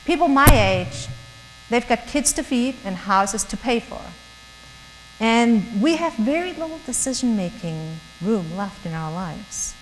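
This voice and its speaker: A woman's voice: a little high, lively intonation, measured pace. A middle-aged woman with a German accent gives a chatty, earnest talk to a church group and often laughs between thoughts. Her voice carries a long reverb from a large hall. A faint electrical hum sits underneath. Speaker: guest speaker. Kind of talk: church talk to young professionals.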